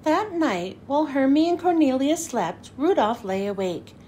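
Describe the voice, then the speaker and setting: Very high, animated woman reading a storybook. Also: moderate pace, slight background noise. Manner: animated, emphatic. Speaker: children's book reader. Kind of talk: expressive storybook read-aloud.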